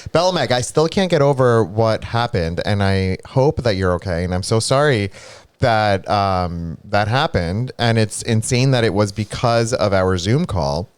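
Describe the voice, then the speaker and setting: A man's voice: lowish voice, measured pace, smooth, no room sound. Stuffy-nosed chatty male streamer. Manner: chatty, reads viewer comments aloud, animated asides. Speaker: streamer co-host. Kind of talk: casual live-stream chat.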